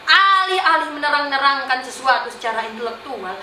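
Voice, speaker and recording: A young woman acting a boastful, mocking self-styled artist in a theatrical stage monologue, exaggerated and taunting, with drawn-out, singsong words. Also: sits high, expressive, pushed and bright, exaggerated voice. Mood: mocking, scornful. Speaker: student stage actor in a monologue competition. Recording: roomy echo, faint background noise.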